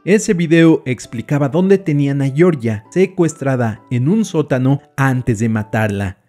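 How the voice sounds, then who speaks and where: A man's voice: medium pitch, expressive, unhurried, mellow, soft background music. A man giving scripted, suspenseful storytelling narration as he explains a horror tale.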